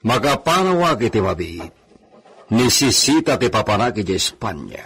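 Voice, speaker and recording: A man's voice: on the low side, sing-song, normal speed, fairly bright tone, husky, full character voice. A man with an Ilocano accent playing a commanding landowner in a radio drama. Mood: authoritative. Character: commanding landowner giving orders to his trusted man.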